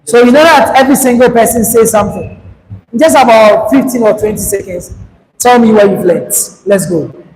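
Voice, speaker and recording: Very high-voiced man coaching. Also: a little fast. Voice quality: very high, light voice. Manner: direct, coaching, urges the group to hurry. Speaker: public speaking trainer. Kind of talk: workshop lecture to students. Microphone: handheld microphone. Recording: long hall reverb, faint background noise.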